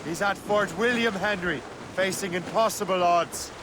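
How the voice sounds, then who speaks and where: An actor playing a blunt, determined young ship captain in a video game. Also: male voice, sits high, normal speed, noisy background, natural voice. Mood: urgent. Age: young adult. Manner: terse, resolute. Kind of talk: video game cutscene acting.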